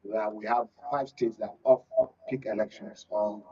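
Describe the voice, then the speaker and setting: A man with a Nigerian accent giving political analysis as a panel guest, haltingly, often repeating and rephrasing. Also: fairly low pitch, steady tone, dark tone, slightly rough, distant mic, dull audio.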